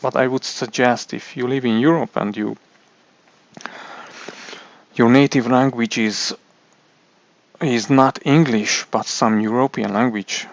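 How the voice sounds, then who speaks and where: A man with a Hungarian accent, a native Hungarian speaker, explaining a product in a halting way with many restarts. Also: medium pitch, steady tone, no room sound, lo-fi sound. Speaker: tech reviewer. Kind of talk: casual explainer monologue.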